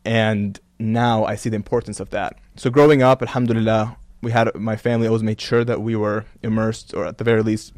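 Man, low-pitched, earnest, reflective, dry close sound, interview guest. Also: flat delivery, a little fast, few pauses, darkish tone, no room sound. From Kuwait and Canada. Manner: earnest, reflective, many "you know" fillers. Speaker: guest, religious content creator. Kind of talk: casual interview talk.

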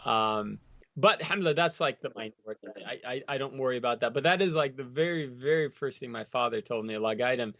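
A man's voice: medium pitch, quick pace, gravelly, fairly bright tone, dry recording. Rambling man, dull dry recording. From United States. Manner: loose and rambling, full of "you know" and "like". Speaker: guest. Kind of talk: casual reflective interview talk.

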